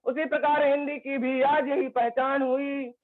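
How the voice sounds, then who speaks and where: A man with a fairly high voice recites verse formally, repeating key lines for emphasis. His sound is dry and thin, like a phone line on a video call. The delivery is steady and declamatory. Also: unhurried, no echo. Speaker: poetry recital participant. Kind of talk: recited Hindi poem. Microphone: video call mic. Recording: tinny phone-like call audio.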